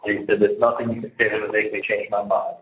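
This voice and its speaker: A man's voice: fairly low pitch, little pitch movement, fast. A man venting in a casual phone conversation, exasperated and repetitive as he recounts things at length from prison. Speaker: prison inmate on a phone call. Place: prison, on a recorded phone line. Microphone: telephone handset. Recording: dull echoey phone call, faint noise.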